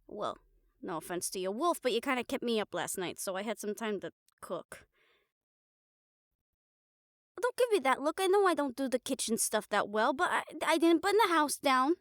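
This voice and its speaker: A woman playing a caring, blunt, teasing young girlfriend in an improvised audio roleplay, chatting casually and haltingly to the listener. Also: fairly high pitch, sing-song, dry recording, studio quality. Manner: casual, halting, playful swearing, affectionate teasing.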